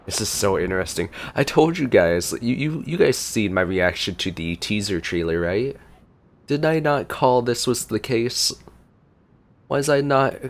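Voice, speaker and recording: A man's voice: medium pitch, expressive, a little slow. A man giving casual, chatty gameplay reaction commentary as a streamer, talking to his viewers. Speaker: gaming streamer.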